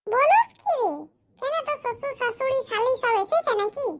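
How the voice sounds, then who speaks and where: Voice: extremely high pitch, expressive, moderate pace, background noise. A performer voicing a curious, skeptical village man in a cartoon, who keeps firing questions. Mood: incredulous. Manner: questioning, incredulous. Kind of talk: cartoon comedy voice acting.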